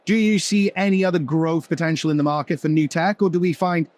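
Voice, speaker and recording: A man leading a business panel, asking long questions in a rapid flow. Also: fairly high pitch, steady tone, a little mellow, no room sound. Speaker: company executive leading the panel. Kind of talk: panel conversation.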